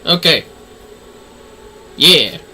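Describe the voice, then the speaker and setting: A man's voice: fairly high pitch, expressive, extremely slow, pushed and bright, slight background noise. A man giving casual livestream commentary on a game, with playful, theatrical asides.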